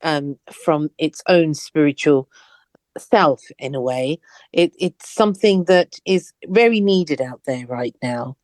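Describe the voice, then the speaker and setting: A woman's voice: sits low, slow pace, darkish tone, no echo. Woman host, dry close sound. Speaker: podcast host. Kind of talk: interview.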